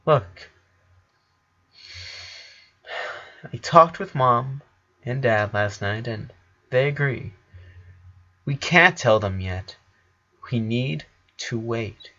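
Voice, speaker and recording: A man's voice: medium pitch, animated, sharp tone, dull audio, heavily acted. A man acting a desperate, pleading dying boy. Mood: subdued. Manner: halting, stammering, pleading. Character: desperate, tearful dying eleven-year-old boy, pleading.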